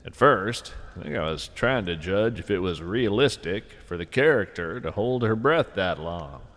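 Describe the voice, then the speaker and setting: A man hosting a scripted podcast, narrating whimsical nature fiction and poetry in an earnest, deliberate, conversational way. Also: sits low, lively intonation, a bit bright, big-room sound.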